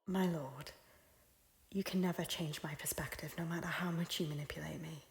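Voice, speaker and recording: A woman's voice: lowish voice, moderate pace, darkish tone, breathy edge. A woman playing a repentant former temptress, an earnest and defiant noble lady speaking formally in a theatrical audio drama. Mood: pleading, resolute. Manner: formal, archaic, impassioned speech. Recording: roomy echo, music underneath. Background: music.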